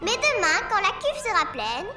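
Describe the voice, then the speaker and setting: A mischievous young cartoon villainess with a piercingly high voice. Her delivery swings hugely in pitch, theatrical and taunting, with music playing behind her. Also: big pitch swings, moderate pace. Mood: scornful, gloating. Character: mischievous, gloating young girl villain with moon magnet. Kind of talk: animated children's cartoon dubbing.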